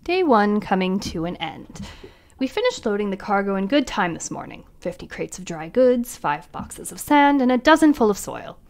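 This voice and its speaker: A weary ship captain. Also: female voice, medium pitch, very animated, slight background noise. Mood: calm. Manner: measured, tired, increasingly uneasy. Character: weary female ship captain keeping a log. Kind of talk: audio drama, recorded log entries.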